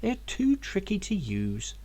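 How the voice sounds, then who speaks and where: Man putting on a fussing old-fashioned mum, lilting high. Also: huge pitch swings, unhurried, dark tone, full character voice. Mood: fussing, mildly indignant. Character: caring old-fashioned mother, gently scolding her son.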